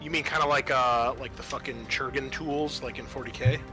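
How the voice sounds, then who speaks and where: A man acting as game master, narrating an improvised tabletop horror game with halting but vivid, dramatic descriptions. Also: mid-range voice, fairly even intonation. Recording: music playing behind.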